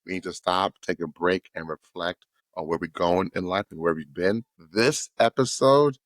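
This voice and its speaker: A man hosting a podcast and interviewing his guest in a loose, playful, expressive way. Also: sits low, moderate pace, dry recording. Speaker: podcast host. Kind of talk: casual interview chat.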